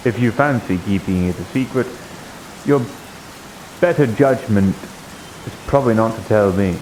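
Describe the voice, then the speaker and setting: A man acts out a probing, shrewd abbey dweller in an online fantasy roleplay. His voice sits low and his phrasing is formal and insinuating. A light hiss runs under the recording. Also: moderate pace, darkish tone, natural voice, low-quality recording. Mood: measured, wry. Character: shrewd, probing male abbey member pressing for secrets. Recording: hissy live stream microphone.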